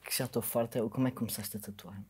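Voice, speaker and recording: Casting: mid-pitched Portuguese guest, thoughtful and measured, quick to laugh, studio mic. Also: male voice, steady tone, breathy edge. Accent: European Portuguese. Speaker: podcast guest. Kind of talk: casual podcast conversation. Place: small podcast studio with foam panels. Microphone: boom-arm studio mic.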